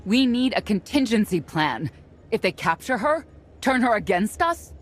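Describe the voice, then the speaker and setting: A woman's voice: mid-range voice, very animated, moderate pace. An actress playing a grim, cautious woman strategist in a video game, speaking sternly and formally. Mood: wary. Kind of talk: video game cutscene acting. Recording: prominent music behind the dialogue.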